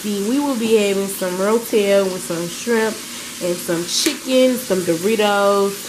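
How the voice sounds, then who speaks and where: A young woman with a stuffy nose from a cold, hosting a casual food vlog at home and teasing her partner, shifting from low mumbles to loud high greetings. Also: measured pace, background noise. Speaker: vlogger host. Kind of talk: casual mukbang vlog chat. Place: small home kitchen and dining table indoors. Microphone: handheld phone camera then fixed camera at table.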